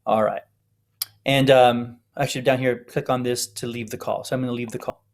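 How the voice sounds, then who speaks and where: A man's voice: mid-range voice, little pitch movement, a little fast. A man giving an informal, step-by-step tutorial narration as an instructor. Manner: explanatory, step-by-step.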